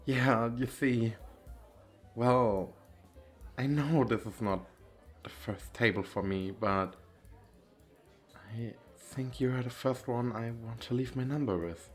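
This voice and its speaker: A man playing a modest, gentle suitor who flirts politely, soft-spoken and sincere, in an ASMR roleplay. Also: mid-range voice, slow, pauses often, soft background music, natural voice. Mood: shyly hopeful.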